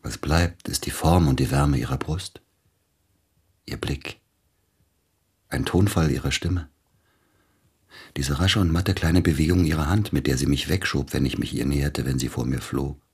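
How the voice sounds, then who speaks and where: Very deep, husky grieving pianist. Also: male voice, steady tone, unhurried, long pauses, a little mellow, full character voice. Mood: anguished longing. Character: grieving celebrated pianist, man, wounded and lovesick. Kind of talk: restrained radio play acting in German.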